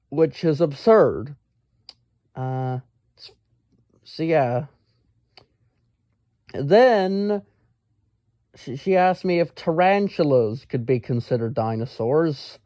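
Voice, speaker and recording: A man telling a casual, rambling story in a pedantic, exasperated, halting manner. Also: a little high, mellow, no room sound. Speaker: storyteller, amateur science enthusiast.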